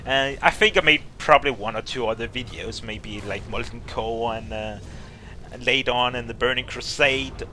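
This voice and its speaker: A man's voice: medium pitch, normal speed, bright, forceful tone, a little hiss or hum. A man in his twenties with a Danish accent, chatting casually in a Q&A vlog and rambling with many fillers and restarts. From Denmark. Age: 20s. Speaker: YouTuber.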